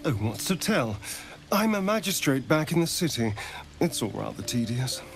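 A man's voice: medium pitch, big pitch swings, normal speed. An actor playing a suave, sly vampire man in a video game, with theatrical, dramatic delivery and an undercurrent of need. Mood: evasive, smooth. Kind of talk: video game character acting.

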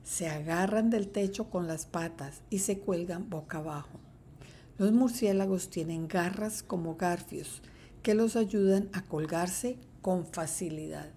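A woman teacher reads a children's picture book aloud at an unhurried pace. Her voice sits a little low and mellow. A faint hiss runs under the recording. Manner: slow, careful read-aloud. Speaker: third-grade teacher.

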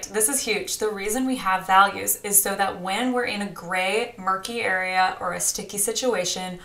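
A woman in her thirties coaching on grant writing in a casual, energetic talk, gesturing as she speaks from a small home studio. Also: medium pitch, roomy sound. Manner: energetic and chatty, talks with her hands. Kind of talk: direct-to-camera teaching vlog.

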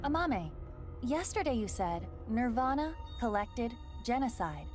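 A woman's voice: on the high side, very animated, a little slow, a little mellow. A woman voicing a composed, inquisitive female AI investigator in a video game, speaking precisely and questioningly. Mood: composed, probing. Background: video game music.

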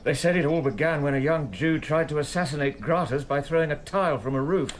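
Troubled, higher-voiced prison keeper played by a man. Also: brisk, slight background noise, close to an everyday voice. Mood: troubled, earnest. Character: troubled, conscientious male prison keeper reporting to superior. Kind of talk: radio drama acting.

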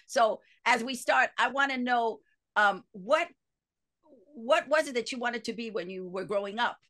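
A woman's voice: fairly high pitch, moderate pace, fairly bright tone. A woman hosting an interview over a headset from her home office, eager and enthusiastic. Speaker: podcast host.

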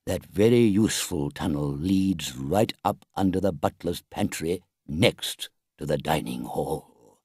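Man doing an old badger from a storybook, low dark voice, grave, stern, takes his time. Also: lively intonation, no room sound, clean studio sound. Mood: severe. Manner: grave, deliberate, authoritative. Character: stern, deep-voiced, wise older male badger.

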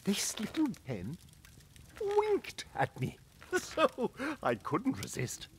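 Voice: mid-range voice, huge pitch swings, slow pace, slight background noise. An actor playing a jolly, simple old peasant husband in a cartoon fairytale, boastful and teasing as he tells his tale. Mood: excited teasing. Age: older. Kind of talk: animated fairytale dub acting.

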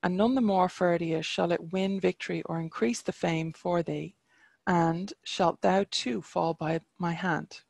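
A reader giving voice to a defiant young warrior hero from old legend, declaiming archaic verse with a deeply gravelly, creaky edge. The delivery is slow and measured, and the sound is close and dry with no room around it. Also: steady tone, a little mellow, dry recording. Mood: pleading. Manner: archaic verse read aloud, chant-like.

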